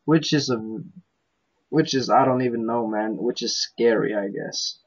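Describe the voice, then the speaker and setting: A man whose first language is Norwegian, hosting a podcast in casual, profane, slangy chat with halting restarts. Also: mid-range voice, breathy edge, muffled recording. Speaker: podcast host.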